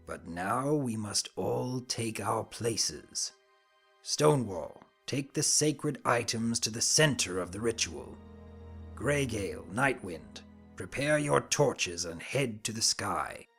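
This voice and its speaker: A man's voice: fairly low pitch, expressive, takes it slowly, creaky, a bit bright, husky, faint music behind, full character voice. A man voicing a commanding, devoted pony cult leader in a fantasy story, grandiose and ceremonial.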